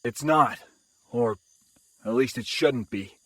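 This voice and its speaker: A man's voice: medium pitch, sharp tone, no echo. A man playing an earnest, polite synthezoid adventurer in a fantasy audio drama. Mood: reasoning. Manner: earnest, polite, measured reasoning.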